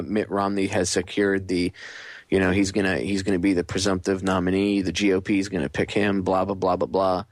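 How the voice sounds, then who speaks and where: A man's voice: sits low, dry recording. A man hosting a radio show, talking casually and directly to his listeners.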